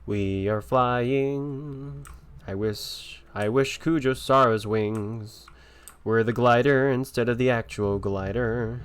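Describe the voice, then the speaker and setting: A man's voice: mid-range voice, takes it slowly, clear, resonant tone. A man giving casual, offhand gameplay commentary as a streamer, muttering to himself and repeating phrases. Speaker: game streamer.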